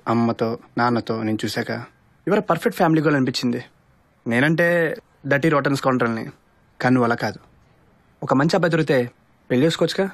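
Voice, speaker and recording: A man's voice: fairly high pitch, close to an everyday voice. An actor playing a playful young bachelor in a film romantic comedy, flippant and teasing, slipping English phrases into his talk. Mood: earnestly persuasive. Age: young adult. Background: loud background music.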